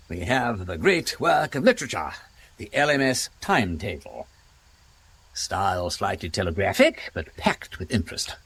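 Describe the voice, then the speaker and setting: An actor playing an eccentric, playful English aristocrat detective with an upper-class accent, whimsical, wordy and commanding in a radio drama. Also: male voice, mid-range voice, a bit bright, husky, exaggerated voice.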